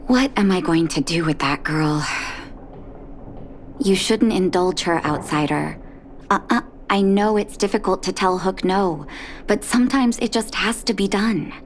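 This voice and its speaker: An actress playing a weary, caring carer of children in a video game, measured and gently scolding, exasperated yet fond. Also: female voice, medium pitch, a little hiss or hum, natural voice. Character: weary, caring adult woman, doctor and orphanage carer. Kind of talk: video game character acting.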